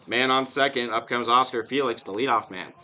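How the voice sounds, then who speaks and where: Hoarse, bright-voiced baseball announcer man. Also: medium pitch, a little fast. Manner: chatty, with casual asides between plays. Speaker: play-by-play announcer. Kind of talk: live baseball commentary.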